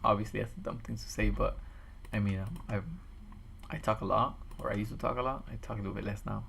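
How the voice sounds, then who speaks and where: Man, low voice, gravelly and raspy, tired, rambling casual stream chat, faint background noise. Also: little pitch movement, fast talker, fairly bright tone. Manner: rambling, thinks aloud with restarts. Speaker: streamer building a game map. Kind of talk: casual commentary while building. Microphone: desk microphone.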